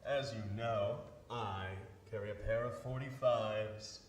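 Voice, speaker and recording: A man's voice: fairly low pitch, fairly even intonation, distant mic. Man acting grim radio crime fighter, echoey. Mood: grave, commanding. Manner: measured, ominous, theatrical. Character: The Shadow, grim righteous invisible crime fighter with mocking laugh. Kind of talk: 1930s radio drama acting.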